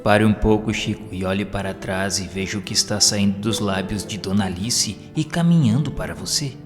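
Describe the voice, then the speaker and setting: A loving male spirit mentor , acted by a man teaches with gentle, deliberate admonishment in a Brazilian accent. The audiobook voice rings with long reverb over soft music. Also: on the low side, close to an everyday voice. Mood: gentle, admonishing.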